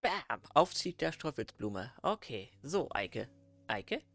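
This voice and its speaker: A man's voice: medium pitch, sing-song, takes it slowly, fairly bright tone. A man giving casual let's play commentary as he reacts to a video game. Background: faint game music.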